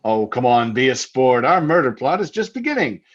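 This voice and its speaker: Radio drama husband male actor: theatrical, taunting, jovial menace, very lively intonation, slightly muffled sound. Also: medium pitch, moderate pace. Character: jovially menacing husband, keen hunter, teasing with murder talk. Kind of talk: old-time radio drama acting.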